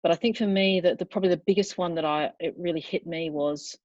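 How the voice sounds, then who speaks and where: A woman's voice: on the low side, steady tone, fast talker, darkish tone, no room sound. A woman speaking as a panelist over a video call, reflective with slight stumbles, on dull lo-fi audio. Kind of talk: conversational panel talk.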